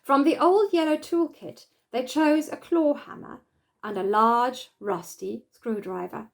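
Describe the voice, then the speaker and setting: Female storybook reader: high voice, expressive narration for children. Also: measured pace, vocal fry.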